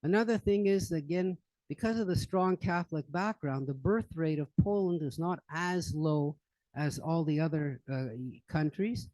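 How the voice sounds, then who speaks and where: A man's voice: fairly high pitch, moderate pace, darkish tone, no echo, rough recording. An older man giving an informal current-events talk to an audience at a public library, rambling with many fillers and restarts. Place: library meeting room.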